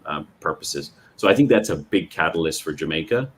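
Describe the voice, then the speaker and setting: Brief: man from Bermuda, very low voice, measured panel talk, faint background hiss. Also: expressive. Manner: measured, with frequent fillers and restarts. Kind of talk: panel discussion.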